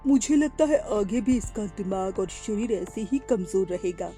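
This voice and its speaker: A cartoon pigeon doctor, voiced by a woman, gives his verdict slowly and gravely. The tone is dark and mellow, with faint background music. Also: medium pitch, expressive, natural voice. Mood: serious. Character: calm male pigeon doctor.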